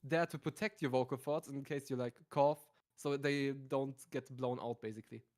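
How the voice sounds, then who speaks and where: A man in easy conversation as a stream guest, calm and matter-of-fact in tone. He explains things plainly and without fuss, at a mid pitch that stays relaxed. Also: normal speed. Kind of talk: casual chat.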